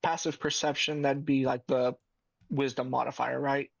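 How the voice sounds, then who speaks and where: A man's voice: mid-range voice, little pitch movement, moderate pace, gravelly, no echo. A man playing a tabletop game, talking casually on a dull, lo-fi microphone.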